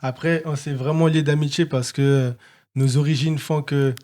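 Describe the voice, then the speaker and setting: A male guitarist from French Guiana, telling his story calmly and without hurry in a radio studio chat. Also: on the high side, normal speed. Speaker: guitarist guest. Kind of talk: casual interview chat.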